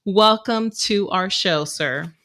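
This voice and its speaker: A woman's voice: mid-range voice, takes it slowly, fairly bright tone. Woman podcast co-host, Spanish as first language, chatty conversational delivery, mid-range voice.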